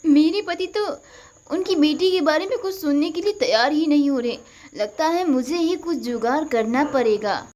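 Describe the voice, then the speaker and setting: Cartoon casting: high-voiced, suspicious stepmother played by a woman narrator, cruel, sharp-tongued and accusing. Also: normal speed, close to an everyday voice. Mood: scheming, resentful. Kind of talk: Hindi cartoon storytelling.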